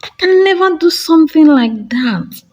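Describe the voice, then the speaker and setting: A woman's voice: sits high, expressive, moderate pace, darkish tone, dull audio, faint music behind, voice pushed up. A woman voicing a principled, reluctant young twin sister who protests earnestly in a folktale. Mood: firm refusal.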